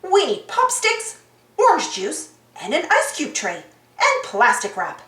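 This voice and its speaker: A woman reading a children's storybook aloud in an animated, exaggerated, sing-song way for young children. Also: high-pitched, a little slow. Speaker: storybook reader. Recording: roomy echo.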